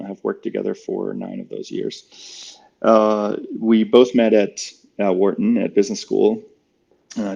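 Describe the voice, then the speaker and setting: A man in his forties, born in Germany, speaks as an interview guest. His voice sits low, with a gravelly edge and a dark, mellow tone. He answers reflectively, with plenty of fillers and restarts, and the recording sounds slightly dull. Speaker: guest, company cofounder.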